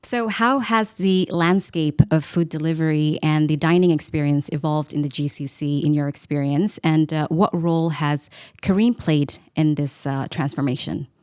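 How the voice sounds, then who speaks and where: Female podcast host, low voice, brisk friendly questioning. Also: darkish tone, clear, resonant tone. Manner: quick, friendly questions with fillers. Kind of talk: interview hosting.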